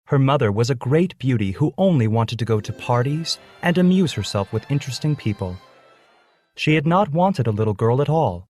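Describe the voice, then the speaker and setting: A man's voice: mid-range voice, lively intonation, normal speed, a little mellow, faint music behind. A man reading a scripted children's story as its narrator.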